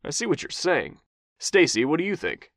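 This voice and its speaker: A man's voice: a little high, sing-song, moderate pace, a bit bright, no echo, clean studio sound, voice pushed up. A man voicing a polished, persuasive male executive. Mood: smooth.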